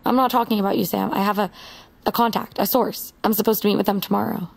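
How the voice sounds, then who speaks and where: A woman's voice: mid-range voice, fairly even intonation, fast talker, gravelly. An actress playing a determined, vengeful young woman, blunt and resolute, in a naturalistic audio drama. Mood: guarded.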